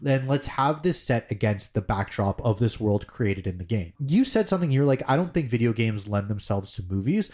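Animated, rambling male podcast co-host. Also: mid-range voice, moderate pace, few pauses. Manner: rambling, swears casually, many fillers. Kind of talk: casual chat.

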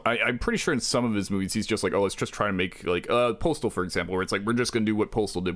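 A man's voice: lowish voice, a bit bright. A man co-hosting a podcast in casual chat, hesitant and self-deprecating, often restarting his sentences. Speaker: podcast co-host.

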